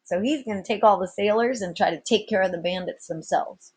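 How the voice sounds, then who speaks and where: A woman teacher reading a children's book aloud, pausing to explain and prompt her listeners. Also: mid-range voice, breathy edge. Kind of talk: storybook read-aloud with explanatory asides.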